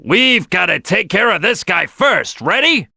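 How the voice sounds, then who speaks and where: An actor voicing a brash, gung-ho male crocodile detective boss in a gruff, raspy video game voice. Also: high voice, sing-song, a little slow, bright, forceful tone, no echo, clean studio sound, heavily acted. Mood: brash, commanding.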